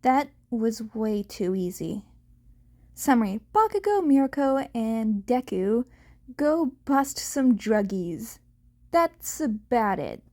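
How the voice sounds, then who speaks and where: A woman reading fan fiction aloud as an amateur audiobook narrator, halting now and then to correct herself. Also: on the high side, very animated, a little mellow. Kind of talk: scripted narration of a story.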